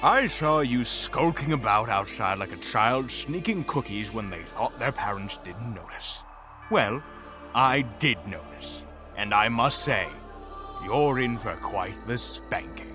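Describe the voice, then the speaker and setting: A man's voice: mid-range voice, expressive, over music, close to an everyday voice. Man playing a pompous, theatrical evil sorcerer. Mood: menacing, mocking. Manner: pompous, grandiose, with drawn-out dramatic pauses. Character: theatrical, vain, easily flustered evil sorcerer villain. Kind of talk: fantasy audio roleplay acting.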